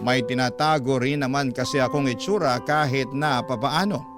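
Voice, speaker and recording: A man's voice: mid-range voice, normal speed. A man working as a radio storyteller, reading a listener's letter in scripted, dramatic narration. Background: loud background music.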